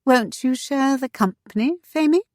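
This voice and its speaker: A woman's voice: high voice, normal speed, darkish tone, no room sound, studio quality, voice pushed up, natural voice. A woman voicing a proud, gracious young society widow in a storybook, polite and airy. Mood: sweetly gracious.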